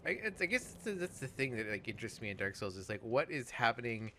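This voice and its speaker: Bright-voiced man musing over a game. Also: medium pitch, sing-song, slight background noise. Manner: halting, musing, thinks aloud. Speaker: let's play co-host playing the game. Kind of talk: casual game commentary chat.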